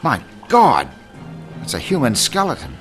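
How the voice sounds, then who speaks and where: A man's voice: medium pitch, wildly swooping pitch, a little slow, over music. An actor playing an inspector's nervous, earnest male sidekick in a radio mystery drama. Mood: alarmed. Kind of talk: old-time radio mystery drama acting.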